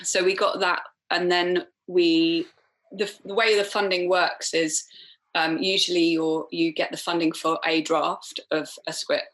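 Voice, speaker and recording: A woman from Wales, chatting as a podcast guest, full of fillers and quick laughs. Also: fairly low pitch, little pitch movement, fairly bright tone, low-quality recording. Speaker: film director guest. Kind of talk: casual podcast chat.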